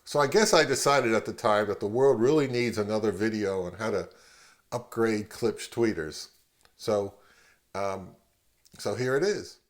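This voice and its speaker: A man hosting a video, explaining things casually in an unhurried, self-deprecating way. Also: fairly low pitch. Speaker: video host.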